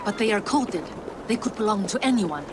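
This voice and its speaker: A woman's voice: mid-range voice, lively intonation, a little fast, background noise, natural voice. An actress playing an earnest, loyal, worried Norse woman in a video game cutscene.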